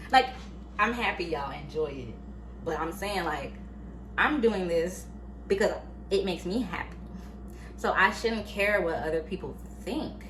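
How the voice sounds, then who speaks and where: A woman in her late twenties talking to her YouTube viewers in a casual, animated, chatty way, full of fillers. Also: fairly low pitch, a little slow, pauses often, slight background noise. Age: late 20s. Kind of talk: casual motivational vlog. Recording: echoey room, faint ambience.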